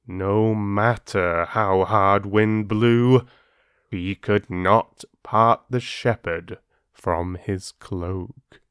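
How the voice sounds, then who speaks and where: Slow, low-voiced male storyteller. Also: fairly even intonation, fairly bright tone, clean tone, no room sound, studio quality. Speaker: storyteller and channel host. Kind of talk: expressive audiobook narration of fables.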